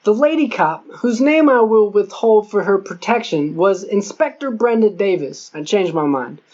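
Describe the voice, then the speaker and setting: A woman's voice: medium pitch, expressive, moderate pace, a little mellow. Female audiobook narrator, scripted first-person fiction, mid-range voice, slightly muffled lo-fi recording. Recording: dull lo-fi audio.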